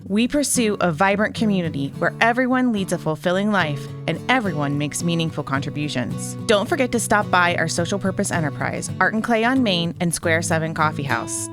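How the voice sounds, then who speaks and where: A woman reading a scripted community announcement in a friendly, upbeat way. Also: fairly low pitch. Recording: music bed under voice.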